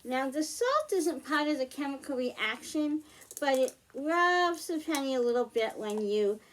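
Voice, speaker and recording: Woman, higher-pitched voice, children's storytime host giving simple, patient hands-on instructions. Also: animated, a little slow, a bit bright, slight background noise. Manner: instructional, patient step-by-step, simple repeated phrasing. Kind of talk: simple hands-on science demonstration for young children.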